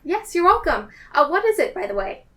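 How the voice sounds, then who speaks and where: A woman's voice: very high voice, very animated, a little fast, sharp tone. A woman chatting casually and improvising as a player in a tabletop roleplaying game. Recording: distant mic with faint noise.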